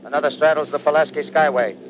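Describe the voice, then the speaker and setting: Grave military pilot on noisy old radio acted. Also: male voice, a little high, steady tone, quick pace, close to an everyday voice. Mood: tense, formal. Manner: clipped military radio reporting. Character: army bomber pilot lieutenant, grave and dutiful under fire. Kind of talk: 1930s radio drama acting. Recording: noisy, dull 1930s radio broadcast recording.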